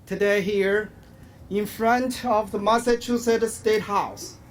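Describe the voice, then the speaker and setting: A man's voice: sits high, fairly even intonation. A man from China with a Chinese accent, giving a solemn, formal prepared speech as an activist at an outdoor rally. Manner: solemn, formal, deliberate phrasing. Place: outdoors in front of a public building. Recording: faint outdoor ambient noise. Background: faint ambience.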